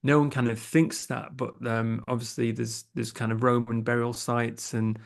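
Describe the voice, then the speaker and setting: A man's voice: medium pitch, level tone, normal speed, gravelly. A young man with an English accent, a podcast producer chatting as a guest in a casual interview, hesitant, with many fillers and restarts. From England.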